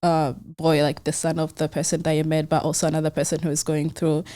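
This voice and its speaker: Young woman, lowish mellow voice, podcast host, chatty, informal, conversational. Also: monotone, a little fast. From Rwanda. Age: young adult. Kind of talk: casual podcast interview.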